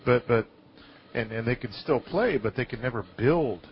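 A man speaks in casual live talk at a middling pitch, halting and doubling back on his words as he tells anecdotes. The audio is dull and lo-fi, with noticeable noise around him. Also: animated. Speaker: talk show participant.

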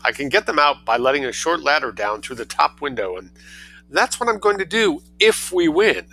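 A man's voice: a little high, sing-song, moderate pace, bright, forceful tone, voice pushed up, heavily acted, low-quality recording. A man voicing a scheming little boy who sounds sweet but speaks in a cheeky, matter-of-fact way. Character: cunning angelic-seeming little boy.

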